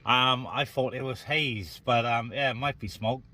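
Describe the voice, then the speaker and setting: A man's voice: mid-range voice, measured pace, sharp tone. A man operating CB radio, chatting casually and making calls in a hesitant, conversational way with radio phrases. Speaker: CB radio operator, net helper.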